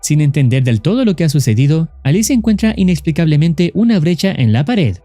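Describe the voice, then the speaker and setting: A male narrator reads a scripted recap in a slightly high, very animated voice. The tone is soft and mellow, recorded dry and close. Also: brisk, no room sound, faint music behind. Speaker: narrator of a horror series recap. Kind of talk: scripted narration, explaining a story.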